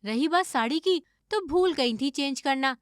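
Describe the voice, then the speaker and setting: A woman playing an evasive, stubborn young daughter-in-law who acts innocent in an animated moral story. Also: high-pitched, a little slow, no room sound, studio quality. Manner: defensive, feigning innocence.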